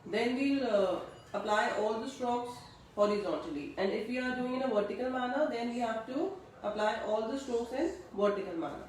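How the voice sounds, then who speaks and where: Lady teaching a craft class online, kinda high voice, slow step by step talk, super echoey and far from the mic. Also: animated, a little mellow. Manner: slow instructional, checks audibility with attendees. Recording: distant echoey room mic.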